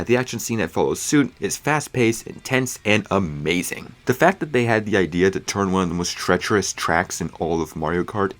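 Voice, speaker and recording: A man narrating a scripted video review with casual, opinionated fan commentary. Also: low voice. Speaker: film reviewer.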